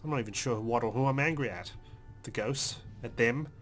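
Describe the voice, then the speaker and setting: A man reading a video game's story aloud as a let's player, in an expressive, dramatic narrating style. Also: medium pitch, fairly quick. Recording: music behind the voice.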